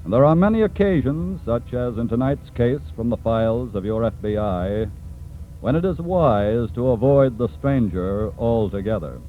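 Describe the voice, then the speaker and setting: An actor playing a grave, authoritative crime-case narrator with an American accent and measured 1940s radio delivery. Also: male voice, medium pitch, lively intonation, lightly acted. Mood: grave, cautionary. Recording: tinny 1940s radio recording, music behind.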